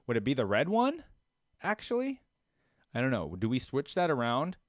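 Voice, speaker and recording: A man's voice: mid-range voice, wildly swooping pitch, moderate pace, no room sound, clean studio sound. A man hosting a reaction video, giving casual spoken commentary.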